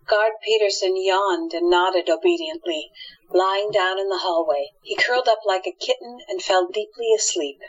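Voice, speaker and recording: A woman's voice: medium pitch, normal speed, dull audio. A woman reading scripted storytelling narration for an audio drama.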